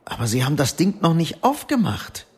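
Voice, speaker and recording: A man's voice: fairly high pitch, huge pitch swings, fairly quick, slightly rough, exaggerated voice. An actor playing an anxious, weary middle-aged salesman in a radio drama, dry and resigned. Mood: worried, resigned.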